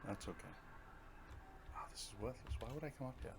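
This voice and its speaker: A man's voice: mid-range voice, animated, fairly quick, gravelly, very noisy. A man giving casual, rambling game commentary, often talking to himself in muttered asides. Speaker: gaming video host.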